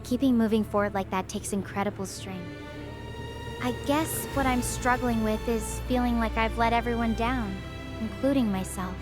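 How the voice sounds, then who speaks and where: A woman playing a stranded, guilt-ridden young astrophysics researcher in a sci-fi drama, speaking softly and hesitantly in a confiding way. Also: on the high side, moderate pace, music in the background, natural voice. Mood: reflective, gentle. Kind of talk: conversational sci-fi roleplay.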